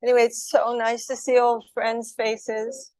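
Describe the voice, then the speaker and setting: A soft-voiced woman guest speaker on a handheld mic, talking casually with fillers and restarts. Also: on the high side, flat delivery, unhurried, no echo.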